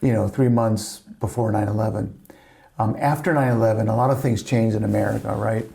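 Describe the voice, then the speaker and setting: A man's voice: on the low side, fairly even intonation, fairly quick, creaky, dark tone, a little hiss or hum. A middle-aged man from Spain with a slight Spanish accent, telling family stories in a rambling casual chat full of "you know". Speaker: family podcast guest.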